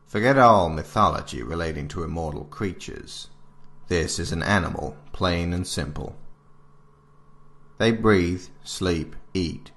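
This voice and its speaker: Stern field-manual narrator, male actor: very low pitch, gravelly fry, formal and authoritative. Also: a bit bright, exaggerated voice. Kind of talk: scripted narration of an alternate-history field manual.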